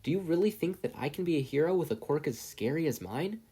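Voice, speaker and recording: A man's voice: a little high, lively intonation, quick pace, mellow, breathy edge, pitched above the performer's own voice. A man voicing an anxious, earnest fourteen-year-old boy who stammers and gets flustered in an audiobook story. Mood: hesitant, hopeful. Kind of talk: audiobook character voice.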